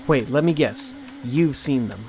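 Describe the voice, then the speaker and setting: A man's voice: medium pitch, lively intonation, unhurried, darkish tone, natural voice. A man playing a curious, sceptical young college student in an audio drama. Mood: teasing.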